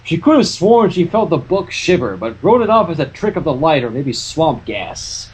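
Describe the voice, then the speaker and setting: Animated, husky woman narrator. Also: low voice, normal speed, rough recording. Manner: playful, expressive. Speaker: narrator and reader. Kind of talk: animated amateur fanfiction narration with banter.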